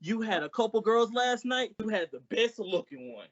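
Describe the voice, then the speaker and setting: Someone playing an excitable, bumbling male radio sidekick. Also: mid-range voice, moderate pace, no room sound, muffled recording. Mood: boastful, joking. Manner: exclamatory, wisecracking. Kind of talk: 1940s radio comedy acting.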